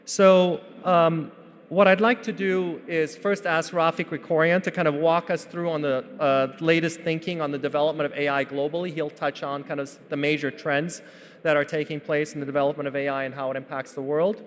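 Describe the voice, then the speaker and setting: A man's voice: a little high, level tone, fairly quick, a little hiss or hum. Man moderating in a reverberant hall. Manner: formal, with frequent "uh" fillers and restarts. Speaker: panel moderator. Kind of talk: conference panel moderation. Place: large conference hall.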